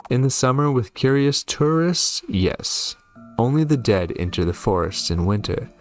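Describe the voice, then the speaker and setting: A man's voice: medium pitch, lively intonation, normal speed, faint music behind, voice pushed up, close to an everyday voice. A man voicing a protective witch mother in a horror game, firm and instructive with her son. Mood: cautioning.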